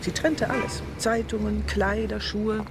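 An actor playing an easygoing police officer with a hesitant, understated delivery in a German radio crime drama. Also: male voice, high-pitched, little pitch movement, a little slow, noisy background. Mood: reminiscing. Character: easygoing police officer telling an odd family memory.

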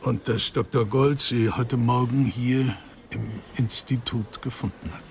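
A guarded, dignified professor, played by a male actor, speaks formally in a medium-pitched voice. His careful radio-drama delivery sits over faint ambience. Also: steady tone, unhurried, background noise, lightly acted. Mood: guarded, grave. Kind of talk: German radio crime drama acting.

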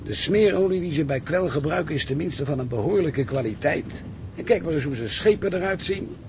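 A man's voice: fairly high pitch, lively intonation, fairly quick, background noise. A man playing an optimistic, reasonable ship's engineer who argues earnestly and persuasively, in radio drama style. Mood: hopeful.

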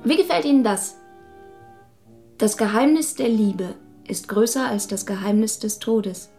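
A woman's voice: on the high side, a little slow, warm tone, faint music behind. An actress playing an eager, coy young woman with writing ambitions in a radio drama. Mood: earnest. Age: young adult.

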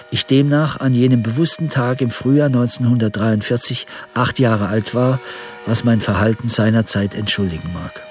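An actor playing an obsessive elderly amateur historian who reads out a formal, meticulous letter in a radio drama. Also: male voice, mid-range voice, darkish tone, close to an everyday voice.

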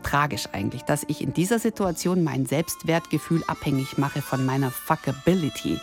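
A woman's voice: sits low, moderate pace, music in the background. A woman of thirty-nine delivering an ironic, self-mocking scripted radio monologue in the first person. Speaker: radio columnist and presenter.

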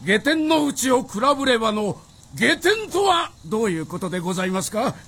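A man's voice: sits high, sing-song, moderate pace, fairly bright tone, slight background noise, full character voice. A man playing a fawning, clownish samurai retainer with obsequious, theatrical speech in a Japanese period radio drama. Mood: eager, deferential.

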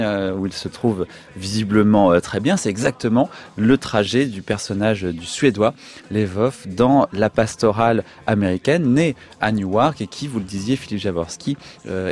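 A man hosting a literary radio programme, presenting in measured phrases with hesitant pauses. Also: lowish voice, a little mellow. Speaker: radio host.

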